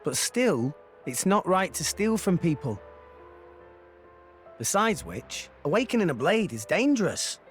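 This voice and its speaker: An earnest young hero in a video game coaxes and reasons. His voice is high and animated, and faint music sits behind it. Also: measured pace. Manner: earnest, coaxing.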